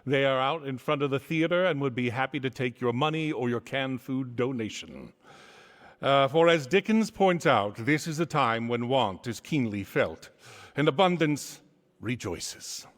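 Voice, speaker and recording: A man narrating a story theatrically in a wry, formal, deliberate way, in an echoey theater hall. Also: mid-range voice, animated. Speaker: stage narrator and presenter. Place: theater stage.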